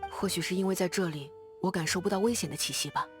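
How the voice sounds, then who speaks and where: A woman's voice: lowish voice, quick pace, faint music behind, lightly acted. A woman voicing an earnest, battle-seasoned young male sentry in a fantasy video game, speaking in a reflective, conversational way. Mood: calm, reassuring. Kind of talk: video game character dialogue.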